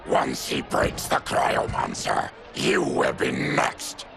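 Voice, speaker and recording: An actor voicing a savage, menacing reptilian enforcer in a video game, with a gravelly hiss and a deliberate delivery. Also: male voice. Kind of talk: video game cutscene acting. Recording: loud music behind.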